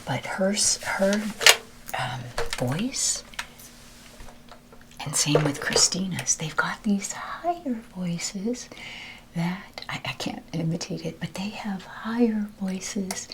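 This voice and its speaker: A woman whispering a rambling ASMR chat while ironing, her voice with a deeper timbre. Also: slow pace, slight background noise. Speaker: ASMR creator. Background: ironing noises.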